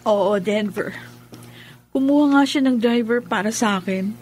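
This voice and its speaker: A respectful young woman, a student niece in a radio drama. Her voice is on the high side, polite and earnest, with a pleading manner. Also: a little slow, a little mellow. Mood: troubled. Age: young adult.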